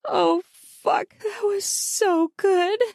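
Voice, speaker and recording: A woman narrator voicing an eager, bold female lover in an audiobook, breathy, gasping and pleading. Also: fairly even intonation, very slow, a little mellow, no echo, clean studio sound, exaggerated voice. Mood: gratified. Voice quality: high, breathy moaning.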